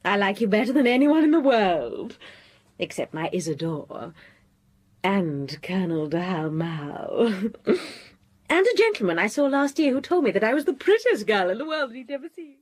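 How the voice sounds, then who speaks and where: Husky, animated coquette. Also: female voice, mid-range voice, normal speed. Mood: playful, fond. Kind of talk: period radio drama acting.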